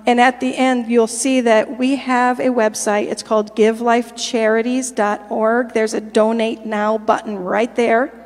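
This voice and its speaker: Higher-voiced woman speaking in a church hall. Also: a little mellow. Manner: friendly, direct address with fillers. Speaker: pastor's wife and interpreter. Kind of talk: church announcements and scripture reading. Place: church sanctuary.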